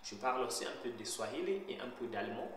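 A young man from Zimbabwe whose first language is Shona, talking casually to his laptop camera in a bare kitchen, pausing often to search for words. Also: mid-range voice, expressive, fairly bright tone. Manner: hesitant, searching for words with frequent pauses. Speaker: language learner vlogger. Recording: very echoey bare room with faint hum.

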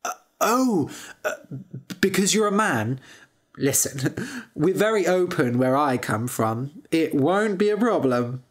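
A woman's voice: low-pitched, animated, takes it slowly, full character voice. A woman voicing a timid, sensitive young prince in a storybook tale, with a hesitant and emotional manner. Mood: eager, coaxing.

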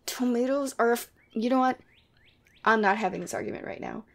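Demon girlfriend played by a woman, ASMR audio drama: sweet but teasing, casual and playfully exasperated, very creaky delivery. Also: a little high, a little fast, close to an everyday voice. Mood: exasperated, bickering.

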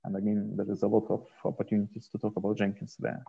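A man presenting slides informally on a video call, speaking in a hesitant, halting way into a headset. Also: lowish voice, monotone, vocal fry, slightly rough. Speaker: host and presenter of an online community onboarding session. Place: small indoor room, video call. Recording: dull, muffled headset call audio.

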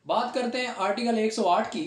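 A man's voice: very high voice, a little fast. A man with a Pakistani accent giving a law lecture, explaining step by step, repeating key points and mixing in English legal terms. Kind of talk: classroom lecture with questions and explanations. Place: small room at a whiteboard, indoors. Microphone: camera a few steps away. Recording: roomy echoing indoor recording.

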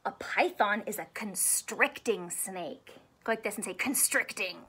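A woman in her thirties reading a children's book aloud in an expressive, teacherly way, asking questions and drawing out key words. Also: medium pitch, a little hiss or hum. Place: small indoor room with couch and stuffed toys.

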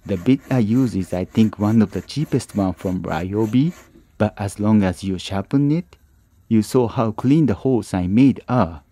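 A man with a lowish, mellow voice talks through a hand-tool woodworking project as a calm tutorial voice-over. His phrasing is plain and slightly non-native, and the sound is dry and close, with no room around it. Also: normal speed, breathy edge, no room sound. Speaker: woodworking channel host.

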